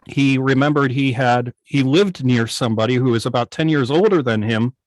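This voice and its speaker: An older man with an American accent telling stories informally on a video call, halting and often pausing mid-thought. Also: medium pitch, dry recording, low-quality recording. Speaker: guest, old-time radio station operator. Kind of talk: informal storytelling in an online club meeting.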